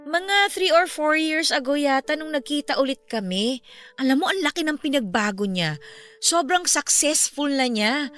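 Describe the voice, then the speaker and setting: A woman's voice: high voice, moderate pace. An actress playing a chatty, candid young woman with a Filipino accent, confiding as a sympathetic friend in a radio drama. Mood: candid, animated. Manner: expressive, conversational, emphatic. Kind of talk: Tagalog radio drama dialogue. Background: music under the voice.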